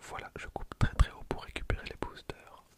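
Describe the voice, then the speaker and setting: A man whispers softly in an ASMR style, unhurried, repeating phrases slowly into a close microphone. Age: 20s. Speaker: ASMR video creator and host. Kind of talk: ASMR whispering, card pack opening commentary. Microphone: handheld recorder used as close ASMR microphone.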